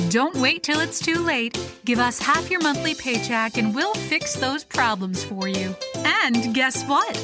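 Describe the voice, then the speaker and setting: A woman playing a falsely reassuring advert saleswoman in a satirical audio play. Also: on the high side. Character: brisk, insidious saleswoman for disaster capitalism. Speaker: actor voicing an advert. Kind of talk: satirical commercial read. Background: music.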